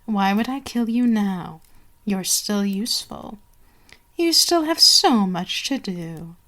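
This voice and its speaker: A woman playing an imperious, seductive vampire queen in an ASMR roleplay, haughty and menacing, drifting into breathy whispers. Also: medium pitch, lively intonation, slow, sharp tone. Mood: cold, commanding.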